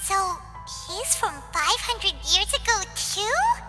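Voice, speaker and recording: This is a tiny, chatty female companion creature in a game cutscene. Her voice sits extremely high and swoops constantly as she exclaims about everything. Heavy music and a long echo fill the background. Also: slow pace, exaggerated voice. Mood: puzzled. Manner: excitable, exclaims often, refers to herself by name. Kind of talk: video game cutscene voice acting.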